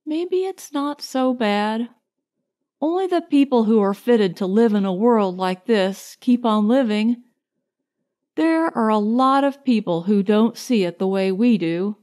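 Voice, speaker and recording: Woman narrator doing a lonely young girl in a story, soft n quiet, wistful, friendly, slow. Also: fairly high pitch, expressive.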